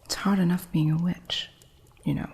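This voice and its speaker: A woman playing a female witch shopkeeper who teaches an apprentice, in a soft whisper with dry humor, for an ASMR roleplay. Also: fairly low pitch, fairly even intonation, normal speed, husky. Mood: hushed.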